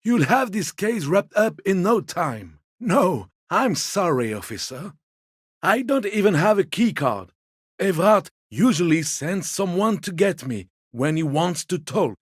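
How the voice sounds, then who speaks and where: Game role: a meek, courteous old man, husky and unhurried, with lilting, highly varied pitch. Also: sing-song, no room sound, studio quality, exaggerated voice. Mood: polite, evasive. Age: older. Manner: deferential, mild, apologetic. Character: elderly, meek, polite, evasive old man. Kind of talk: video game character dialogue.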